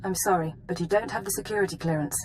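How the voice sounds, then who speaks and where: A woman playing a composed female intelligence analyst in a video game, giving briefings in a measured, formal way. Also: on the low side, background noise, lightly acted. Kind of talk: scripted video game acting, mission briefing.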